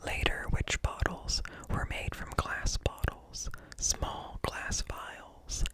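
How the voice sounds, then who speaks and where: Slow whispering ASMR man. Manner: deliberate, close, hushed delivery. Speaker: ASMR host reading articles. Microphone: close up, ear-to-ear stereo.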